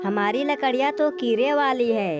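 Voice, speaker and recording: Woman voicing a lower-pitched shady cartoon crow. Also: moderate pace, lightly acted. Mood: worried. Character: shady male crow selling rotten wood, uneasy. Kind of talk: children's cartoon story. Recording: music behind the voice.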